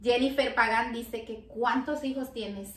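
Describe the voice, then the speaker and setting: Woman, high-pitched, playful guest interviewer, casual, off-mic in a roomy home. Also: fairly even intonation, measured pace, fairly bright tone. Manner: jokey. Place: home kitchen and living room. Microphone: camera a few meters away. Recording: echoey, distant, faint noise.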